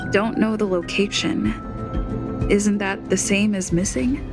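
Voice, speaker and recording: An actress playing a composed, methodical young woman in a video game, testifying in a measured, precise, matter-of-fact way. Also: mid-range voice, steady tone, music in the background, lightly acted. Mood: insistent.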